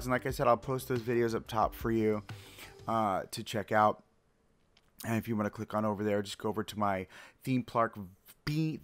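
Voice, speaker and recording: Slightly raspy, chatty man. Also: mid-range voice, fairly bright tone. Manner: chatty, upbeat, many fillers and restarts. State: hoarse from screaming. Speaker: let's play host. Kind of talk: casual game commentary.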